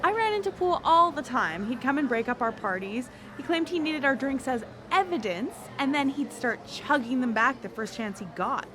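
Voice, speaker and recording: A woman playing a brash, flirtatious, sharp-tongued date in a live radio drama, blunt and dramatic. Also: sits high, lively intonation, normal speed, few pauses, background noise. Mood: playful.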